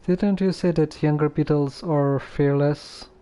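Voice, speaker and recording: A man plays a calm young man in a game, slightly high-voiced but soft and mellow. He talks slowly, with dry, deadpan doubt. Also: clear, resonant tone, lightly acted. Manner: unhurried, dry, hesitant. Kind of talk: video game character dialogue.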